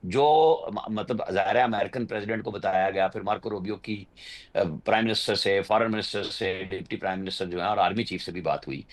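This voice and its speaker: A man's voice: on the low side, fairly quick. A man with a Pakistani accent giving unscripted political commentary as a journalist, explaining insistently and at winding length. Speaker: journalist, political analyst guest.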